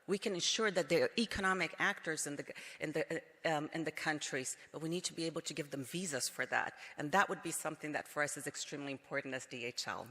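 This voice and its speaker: A man from Brazil, a company executive, giving a measured conference presentation with frequent um fillers. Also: fairly high pitch, pushed and bright. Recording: long reverb of a conference hall.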